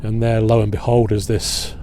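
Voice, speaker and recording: A middle-aged man leading an outdoor history tour at a waterfront fort. He talks in a relaxed, halting way with many filler pauses. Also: on the low side, slight background noise. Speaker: historian and battlefield guide.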